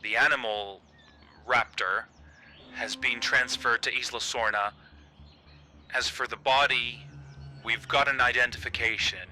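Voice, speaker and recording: An actor playing a cautious, defensive park operations manager on a radio call in a horror drama, measured and officious. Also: male voice, medium pitch, fairly even intonation, pushed and bright. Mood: sober briefing. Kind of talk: found-footage horror audio drama. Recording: noisy radio transmission.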